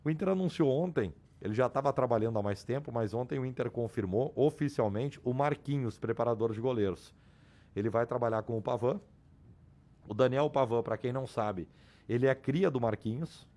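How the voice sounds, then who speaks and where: Hoarse man reporting sports news. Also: mid-range voice, a little fast, darkish tone. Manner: explanatory, restarts and repeats names. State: hoarse, losing his voice. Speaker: sports commentator.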